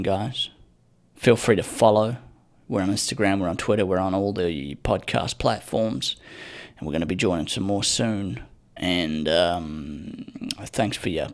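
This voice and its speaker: A young man hosting his own podcast, talking casually in a profane, rambling, sardonic way into a microphone. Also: lowish voice. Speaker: podcast host. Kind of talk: casual solo podcast rant.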